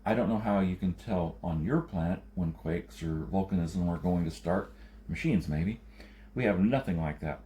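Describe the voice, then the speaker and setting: A man's voice: deep voice, moderate pace, mellow, natural voice. A man voicing a firm, matter-of-fact male leader of rural folk in an audiobook story. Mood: grave certainty.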